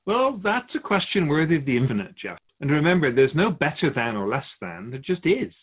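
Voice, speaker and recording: A man playing a wise, wry otherworldly keeper of souls in a scripted dialogue, measured and teasing, fond of rhetorical questions. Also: on the high side, big pitch swings, husky, narrow, tinny audio. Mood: instructive.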